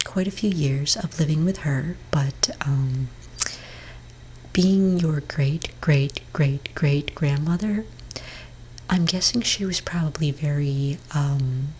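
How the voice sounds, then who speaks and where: A woman with a low voice speaks in a soft, breathy whisper, as in an ASMR roleplay. She plays a gentle therapist, reassuring and a little hesitant as she counsels. A faint ambient noise sits behind her voice. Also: poor audio. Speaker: ASMR performer role-playing a therapist.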